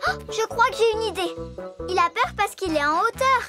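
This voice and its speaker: A woman voicing a bold, eager little girl elephant in a children's cartoon, with an excited, exclamatory delivery. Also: extremely high pitch, moderate pace. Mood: confident, eager. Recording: children's music behind the voice.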